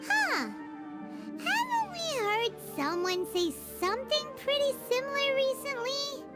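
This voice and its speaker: A woman voicing a tiny, chatty, excitable female companion who talks about herself in the third person, in a game cutscene. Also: very high voice, unhurried, full character voice. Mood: chatty, curious. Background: game music.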